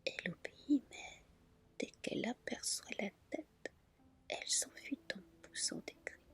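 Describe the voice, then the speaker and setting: A woman reading folk tales as ASMR in a soft, breathy whisper, telling them gently and carefully. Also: unhurried. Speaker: ASMR storyteller, channel host.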